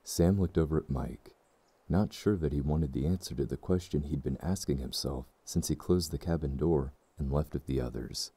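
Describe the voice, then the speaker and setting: A man narrates a full-cast audiobook with an extremely deep, dark voice. His reading is measured and somber, with a husky edge, recorded dry and close. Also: dry recording.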